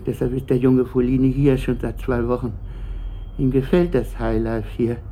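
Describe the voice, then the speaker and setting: An actor playing a bitter, uneasy middle-aged company director answering questions haltingly in a German radio play. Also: male voice, medium pitch, steady tone, warm tone, slight background noise, natural voice. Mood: resigned. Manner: hesitant, evasive, halting.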